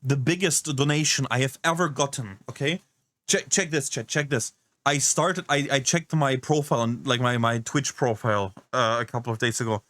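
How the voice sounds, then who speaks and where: A man's voice: mid-range voice, normal speed, a bit bright, dry recording. A man doing casual reaction commentary, incredulous and rambling, swearing often. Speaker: reaction streamer.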